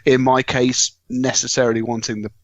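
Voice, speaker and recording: A man chats casually as a podcast panellist, wordy and wry, at an average male pitch. The recording is dry and a little dull, with no room sound. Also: flat delivery, moderate pace, no room sound. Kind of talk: casual anecdotal chat.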